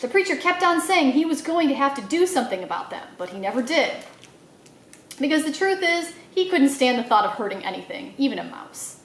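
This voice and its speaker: A woman reading a children's novel aloud in an expressive storytelling style, acting out the dialogue as she goes. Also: high-pitched, brisk, roomy sound, slight background noise. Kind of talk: animated read-aloud narration for children.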